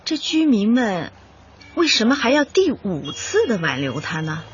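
An actress in a radio drama playing an eager young community officer, expressive and outspoken. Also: female voice, a little high, measured pace, muffled recording, noisy background. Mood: reflective narration. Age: young adult.

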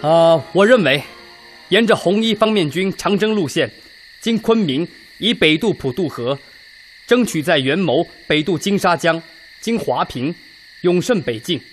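An actor playing a practical army officer in a radio drama, giving a formal, orderly briefing. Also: male voice, a little high, animated, a little slow, faint music behind. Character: practical male army officer proposing a route.

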